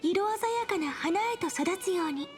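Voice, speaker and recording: A woman voicing a playful, bubbly young woman in a game, with a high, sweet voice. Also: lively intonation, fast talker. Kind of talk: Japanese game voice acting. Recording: game audio with music behind.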